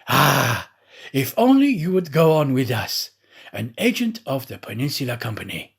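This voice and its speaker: A man's voice: medium pitch, very animated, normal speed, creaky, husky, exaggerated voice. A man voicing a jovial, teasing manservant in an audiobook. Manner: bantering, jovial. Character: bantering, hearty French manservant, sly and teasing.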